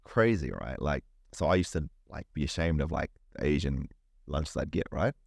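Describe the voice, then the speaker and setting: A man's voice: extremely low pitch, lively intonation, moderate pace, gravelly, a little mellow, dry recording, clean studio sound. A middle-aged man from New Zealand with a New Zealand accent, a podcast guest chatting casually, rambling, repeating words and swearing freely. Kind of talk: casual chat.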